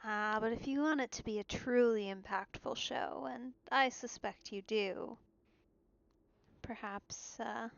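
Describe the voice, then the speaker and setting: Fairly high-voiced woman streaming a game. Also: measured pace, gravelly, no room sound, lo-fi sound. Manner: musing, conversational. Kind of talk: casual live let's play commentary.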